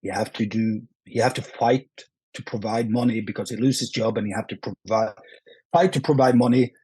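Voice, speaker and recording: A man with a Norwegian accent, speaking English as a second language, answering interview questions in a halting way full of restarts and fillers. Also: lowish voice, dark tone, slightly rough, dry recording, low-quality recording. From Norway. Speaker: actor, guest. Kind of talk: casual interview.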